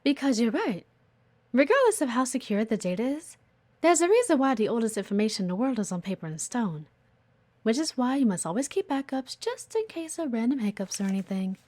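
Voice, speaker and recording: Woman acting a haughty, high-voiced female office executive. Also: big pitch swings, fairly quick, darkish tone. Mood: haughty, impassioned. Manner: teasing, haughty, theatrical, sighing. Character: sharp, demanding, flirty female office executive, condescending yet playful. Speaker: voice actor in an audio roleplay. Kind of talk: intimate audio roleplay acting.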